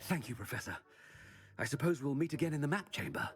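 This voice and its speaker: An actor playing a student disguised as a pompous, haughty headmaster in a video game. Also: male voice, a little high, very animated, fast talker, soft background music. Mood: uncertain, pleased. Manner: pompous, theatrically imperious, hesitant when caught off guard.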